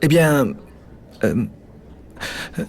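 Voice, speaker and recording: A man voicing a polite, mild-mannered young gentleman professor in a video game. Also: a little high, animated, extremely slow, heavily acted. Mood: hesitant. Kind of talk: video game cutscene voice acting.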